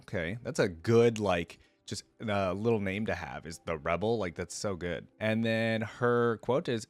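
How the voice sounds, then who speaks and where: A man with a fairly low voice hosts a reaction video in a very dry-sounding recording. He moves between reading text aloud and informal commentary, talking conversationally and dropping in asides. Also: no room sound.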